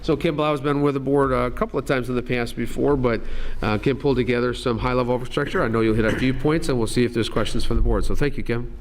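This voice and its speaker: A man, a school district administrator, runs a public board meeting in a meeting room, talking in a friendly, halting way with many "uh"s and restarts. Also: mid-range voice, steady tone.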